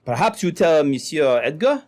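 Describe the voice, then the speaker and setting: A man playing an earnest French-Canadian trapper with a French accent in an old-time radio drama, speaking in a deliberate, dramatic way. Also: fairly high pitch, very animated, a little mellow. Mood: reproachful.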